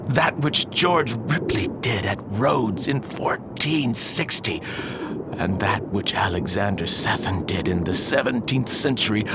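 A man's voice: mid-range voice, noisy background. An actor playing an obsessed, proud older Victorian alchemist and embittered father in a declamatory radio drama style. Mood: triumphant, obsessive. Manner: grandiose, declamatory old-fashioned speech. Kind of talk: theatrical radio drama acting.

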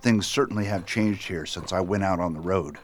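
A steady, concerned frontier marshal in a radio play, acted by a man. His voice sits fairly low, with faint noise behind it. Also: fairly quick, lightly acted. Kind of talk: western radio drama acting.

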